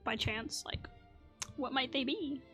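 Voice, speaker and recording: A woman comments casually on a game as she plays. Her voice is on the high side and her wording is informal and chatty. Soft music runs behind her. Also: takes it slowly. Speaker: gaming video host.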